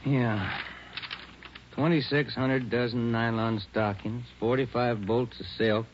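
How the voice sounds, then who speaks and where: A man's voice: medium pitch, fairly even intonation, slow pace, natural voice. An American man acting a blunt, insistent police detective partner in terse 1940s radio style. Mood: pressing. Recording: muffled 1940s radio recording, light hiss.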